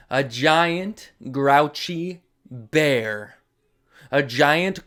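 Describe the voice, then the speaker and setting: A man's voice: medium pitch, a bit bright. A man hosting a read-along for children, reading aloud expressively with dramatic emphasis and chatty asides to young listeners. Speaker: host of a children's read-along story series.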